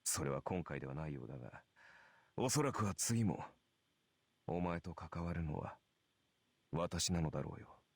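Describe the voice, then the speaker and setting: Stern visual novel warrior, deep voice, rough husky edge, grave, deliberate, resolute. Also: male voice, expressive, no echo, full character voice. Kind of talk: Japanese visual novel voice acting.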